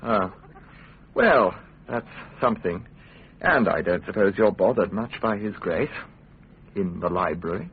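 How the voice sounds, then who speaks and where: An actor playing a sly, needling royal official with a mock-genial, insinuating manner in a radio drama. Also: male voice, lowish voice, expressive, unhurried, long pauses, husky, full character voice. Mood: amused, needling. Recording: tinny, band-limited radio drama recording.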